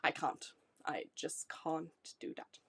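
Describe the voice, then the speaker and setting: A young Norwegian woman in her twenties with a Norwegian accent, chatting casually to camera about herself, apologetic and reassuring. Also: slow. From Norway. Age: 20s. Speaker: vlogger. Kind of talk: casual self-introduction to camera. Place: small decorated room indoors.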